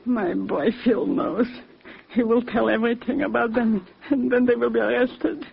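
An actress playing a grieving, drowsy old mother, weak and tearful, with a husky, creaky voice in an old radio drama. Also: on the high side, steady tone, moderate pace, heavily acted. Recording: muffled old radio broadcast.

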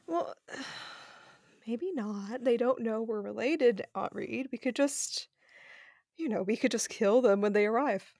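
A woman's voice: fairly high pitch, slow pace, a little mellow. A woman acting as a snarky, nervy young sniper in a sci-fi audio drama, speaking casually and sarcastically. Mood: wry.

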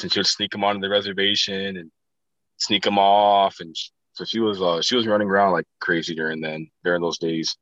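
A man's voice: low-pitched, pushed and bright, dry recording, muffled recording. A laid-back man chatting casually, rambling through family stories and laughing often. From South Dakota. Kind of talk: casual chat.

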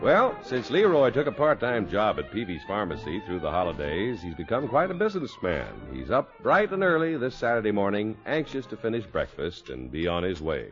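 A man's voice: lowish voice, big pitch swings, fairly quick, hardly any pauses, faint music behind. A man announcing on 1950s radio, reading scripted ad copy and story narration in a polished way. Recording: muffled old radio recording.

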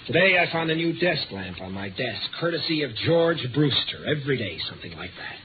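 A man's voice: medium pitch, very animated, slight background noise, natural voice. Man acting an anxious, harried man, tinny old radio. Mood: wounded, resigned. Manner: halting, strained, earnest pleading. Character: anxious, harried man haunted by fear of darkness. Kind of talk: 1950s radio drama acting.

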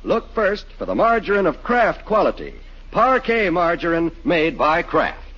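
A man's voice: fairly high pitch, big pitch swings, unhurried. An American man announcing ads and credits in the style of 1940s radio. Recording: muffled 1940s radio recording.

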